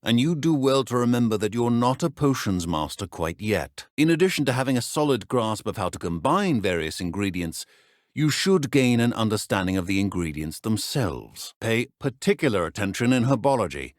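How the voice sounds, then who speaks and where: An actor playing a stern, dry-witted middle-aged British potions professor in a video game, speaking in a measured, exacting, deadpan manner. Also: male voice, on the low side, no echo. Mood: dry, composed. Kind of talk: scripted game dialogue.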